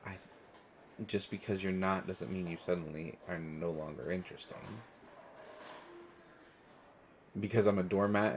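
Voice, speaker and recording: Man acting as stressed male traveler, low pitch, hesitant, self-deprecating, rambling, audio roleplay, noisy ambient background. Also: close to an everyday voice. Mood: awkward, chatty. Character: stressed, self-deprecating, observant male stranger waiting at airport. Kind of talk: one-sided conversational audio roleplay.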